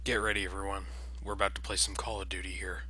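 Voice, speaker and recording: A man's voice: sits low, little pitch movement, fast talker, very noisy. A man giving sarcastic, casual commentary over a video game. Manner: sarcastic, mocking. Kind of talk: casual let's play commentary.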